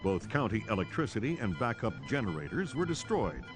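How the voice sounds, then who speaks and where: A man's voice: lowish voice, very animated, fairly quick, music in the background. A man playing a serious, urgent television news anchor, reading formally and measuredly in a video game cutscene. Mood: grave. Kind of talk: scripted news bulletin in a game.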